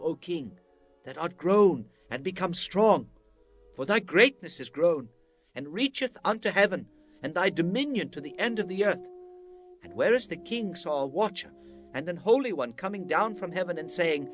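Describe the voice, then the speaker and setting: An actor playing an earnest, respectful prophet in a dramatized scripture reading, speaking with solemn, deferential oratory. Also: male voice, high-pitched, expressive, moderate pace, a bit bright, soft background music. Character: earnest, respectful prophet counseling his king.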